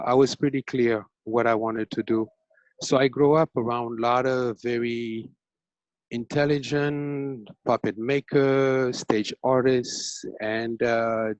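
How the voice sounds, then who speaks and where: A man's voice: medium pitch, dry recording. A man from Senegal, a self-taught painter, talking hesitantly about his work over a video call. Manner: hesitant, searching, many fillers. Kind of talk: casual artist talk on video call. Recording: dull, dry video call audio.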